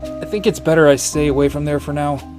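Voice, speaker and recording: An actor playing a troubled private detective with memory gaps in a noir audio drama, brooding and confessional. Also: male voice, a little high, fairly even intonation, a little fast, darkish tone, roomy sound, soft background music, close to an everyday voice. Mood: defensive. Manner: brooding, confessional inner monologue.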